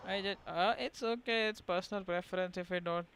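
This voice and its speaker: A man's voice: high voice, a little fast, a bit bright, slight background noise. A man with an Indian accent chatting casually and informally as he livestreams a game. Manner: informal, slangy, switches between English and Hindi. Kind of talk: casual chat commentary over gameplay. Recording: stream mic over game music. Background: game music.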